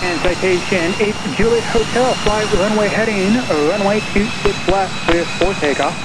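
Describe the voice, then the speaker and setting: A man's voice: sits high, moderate pace. A man working as an air traffic controller, giving clipped procedural instructions over aviation radio. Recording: noisy air traffic radio, aircraft ambience.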